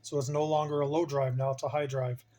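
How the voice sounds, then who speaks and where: A man's voice: mid-range voice, little pitch movement, fairly quick, warm tone. A man giving a casual hands-on demonstration as a hobbyist host, plain-spoken and colloquial, with emphatic turns of phrase.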